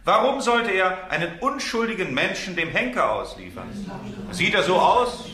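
An actor playing a grave, persuasive prosecutor in a radio play, with emphatic rhetorical questioning and a roomy echo. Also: male voice, high-pitched, big pitch swings, moderate pace, sharp tone, exaggerated voice. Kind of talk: German radio play acting.

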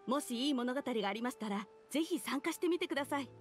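A woman's voice: high voice, animated, fast, faint music behind. An actress playing a polite female clerk in a video game, speaking in formal, announcing phrases. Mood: polite, inviting. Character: polite adult female publishing house clerk. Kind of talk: scripted video game dialogue.

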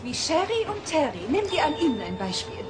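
A woman's voice: high-pitched, sing-song, a little fast, very noisy. A woman playing a strict, exasperated schoolteacher who scolds sternly in a cartoon audio play. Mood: exasperated, scolding.

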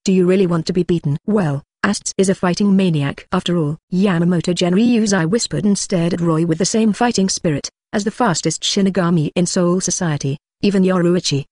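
Audiobook narration, translated web novel, reads punctuation aloud, dry close sound, mid-pitched voice. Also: a little fast, few pauses, a little mellow, no room sound.

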